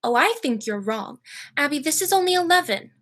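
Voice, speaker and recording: A teenage woman playing a mild, cheerful elderly aunt, genteel and serenely matter-of-fact, in a high school radio play. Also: lively intonation, brisk, fairly bright tone. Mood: placid, matter-of-fact. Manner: genteel, placid.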